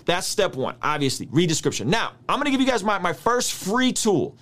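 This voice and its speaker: A man in his thirties, a native Russian speaker, giving an energetic, slangy Bible study lesson. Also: sits high, a little fast. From United States. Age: 30s. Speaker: Bible teacher. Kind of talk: casual video teaching.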